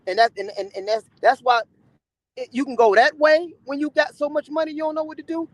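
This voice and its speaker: A man's voice: very high voice, brisk, no room sound. A man chatting casually in an earnest tone, often stumbling and repeating words as he talks about spirit and soul. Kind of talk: casual chat.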